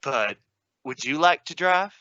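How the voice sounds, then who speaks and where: Woman as blustering, low-voiced radio dad. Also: lively intonation, a little slow, bright, forceful tone, exaggerated voice. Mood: exasperated, sarcastic. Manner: blustering, sarcastic, stammering when cornered. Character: exasperated, stubborn suburban family father. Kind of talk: old-time radio sitcom acting. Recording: muffled recording with clear noise and vehicle sounds.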